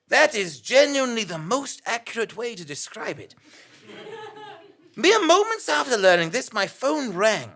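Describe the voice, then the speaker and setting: A woman's voice: high voice, creaky, a bit bright, full character voice. A woman playing a bewildered amnesiac male investigative journalist in a comic audio drama, theatrical and mock-serious. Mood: mock-solemn. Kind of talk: comic audio drama, scripted narration and dialogue.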